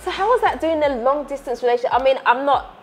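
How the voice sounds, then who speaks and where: A young woman hosting a casual interview, chatty and quick to react, often laughing. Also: high-pitched. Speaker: host. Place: outdoors then indoors at a hot venue in Ghana.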